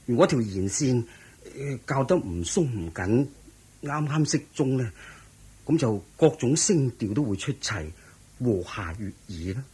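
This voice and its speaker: An actor playing an earnest, anxious young monk with a husky voice and fretful manner, in Hong Kong Cantonese radio drama style. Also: male voice, medium pitch, big pitch swings, unhurried, darkish tone, exaggerated voice. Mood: earnest, respectful.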